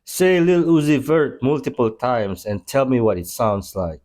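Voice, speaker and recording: A man's voice: medium pitch, very animated, measured pace, background noise. A man who is not a native English speaker, hosting a reaction video with casual, friendly commentary. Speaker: channel host reacting to clips.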